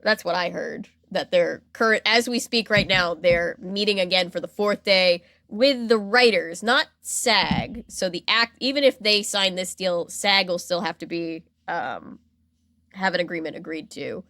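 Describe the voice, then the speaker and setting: A woman's voice: on the low side, unhurried, sharp tone, no echo. Woman co-hosting a casual podcast, dry sound. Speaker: podcast co-host.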